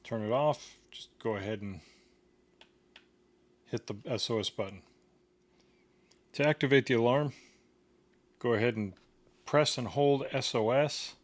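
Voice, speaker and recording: A man's voice: mid-range voice, a little slow, pauses often, no echo. A man giving a casual hands-on product tutorial in a plain, step-by-step instructional way. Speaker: product reviewer demonstrating a gadget.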